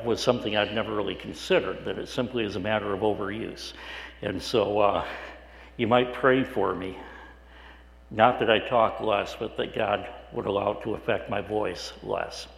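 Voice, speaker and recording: Male pastor, middle-aged, raspy and hoarse, chatty halting sermon delivery, roomy echo. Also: lowish voice, fairly even intonation. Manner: conversational with frequent "uh" restarts. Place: church sanctuary. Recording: echoey hall with long reverb.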